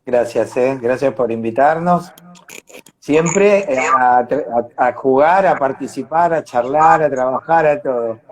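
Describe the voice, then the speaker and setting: A man's voice: mid-range voice, unhurried, a crowd in the background. A man with an Argentine accent chatting casually as an interview guest on a live internet call. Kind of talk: casual chat. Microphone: internet live video call.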